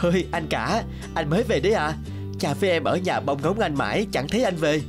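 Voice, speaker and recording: A male actor gives us a humble young village son in a cartoon fable. He sounds mild, polite and sincere. Music plays clearly beneath his words. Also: on the high side, lively intonation, a little fast, lightly acted. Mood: earnest, polite. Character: humble, earnest, dutiful young peasant son. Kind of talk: animated folk tale dialogue. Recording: music bed behind the voice.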